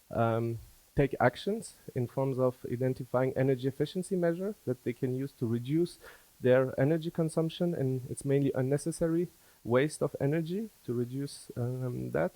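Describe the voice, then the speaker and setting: A man from Afghanistan who was schooled in France, giving a business presentation as a company founder, with halting, hesitant phrasing. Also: medium pitch, warm tone, no echo, low-quality recording. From born in Afghanistan, schooled in France.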